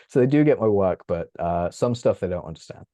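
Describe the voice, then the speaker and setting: A man, chatting as an interview guest from his bedroom at home, animated and laughing often. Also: sits low, normal speed, no echo. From England. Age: 30s. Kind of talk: casual interview chat. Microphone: video call.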